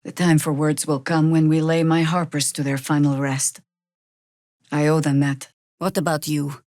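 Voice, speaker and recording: A woman playing a weathered, stern and wry old druid warrior in a video game, with a measured, dry, commanding delivery. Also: deep voice, little pitch movement, a little mellow, no echo, natural voice. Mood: grave, measured. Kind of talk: dramatic video game voice acting.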